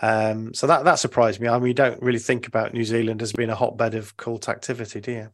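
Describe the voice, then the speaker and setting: A man's voice: mid-range voice, flat delivery, fast talker. Hesitant male podcast host. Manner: hesitant, many fillers and restarts, encouraging. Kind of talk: podcast interview.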